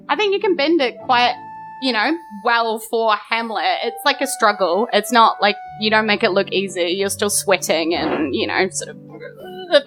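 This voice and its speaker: A woman's voice: mid-range voice, a bit bright. A New Zealand woman running a tabletop game as game master, narrating off the cuff in a casual, informal way at a live show. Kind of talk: improvised tabletop game narration before an audience. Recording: live stage show with music underneath.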